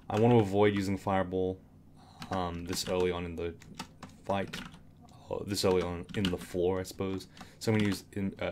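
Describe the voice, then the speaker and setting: A man with a slightly raspy voice giving casual commentary on a game tutorial, rambling with fillers and restarts, keyboard clicks behind him. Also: sits low, a little mellow, slight background noise.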